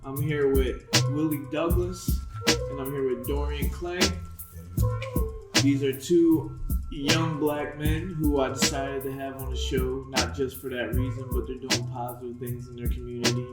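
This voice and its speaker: A man's voice: mid-range voice, little pitch movement, a little slow, roomy sound, over loud music, rough recording. A man hosting a casual podcast interview as a boxing coach, talking loosely and laughing easily.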